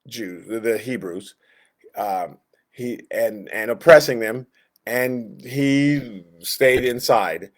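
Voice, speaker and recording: A man teaching Sunday school in a conversational, halting way, reading verses and explaining them, often backing up to rephrase. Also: mid-range voice. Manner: conversational, halting, backtracks and rephrases, acts out inner thoughts. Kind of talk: informal Bible lesson, reading verses and explaining.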